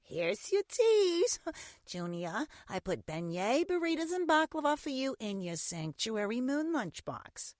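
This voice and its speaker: A woman's voice: sits high, sing-song, unhurried, dry recording, clean studio sound. A woman playing a gushing, doting yet imperious mother in a science fiction audio drama, with theatrical, cajoling delivery. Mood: doting, breezy. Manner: theatrical, effusive, cajoling with endearments. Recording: music under the voice.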